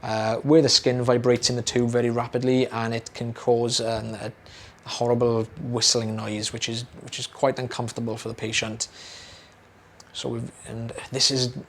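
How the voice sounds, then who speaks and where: A young British man, an audiologist, explaining a procedure to camera in a relaxed, chatty way with many fillers and repeated words. His voice is slightly hoarse, as if he is losing it. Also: medium pitch, normal speed, a little hiss or hum.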